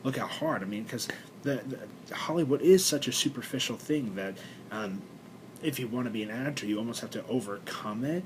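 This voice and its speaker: A young man with a congested, stuffed-up voice, talking haltingly in a personal video diary with many fillers and restarts. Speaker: vlogger. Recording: noisy recording with low rumble.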